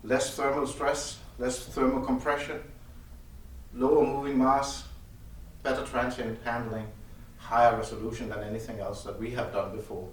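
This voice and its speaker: A man who speaks as a gives a halting technical explanation of audio gear as its designer. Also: medium pitch, little pitch movement. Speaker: loudspeaker designer presenting gear at an audio show. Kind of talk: technical product explanation in conversation. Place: indoor demo room at an audio show. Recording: echoey room, distant mic, faint noise.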